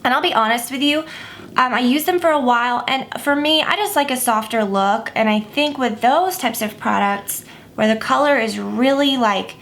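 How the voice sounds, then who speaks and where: A woman's voice: fairly high pitch, moderate pace, fairly bright tone, a little hiss or hum. Chatty woman with a stuffy voice. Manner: rambling, chatty, many fillers. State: stuffy, a little scratchy. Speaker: makeup vlogger. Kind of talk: casual makeup tutorial.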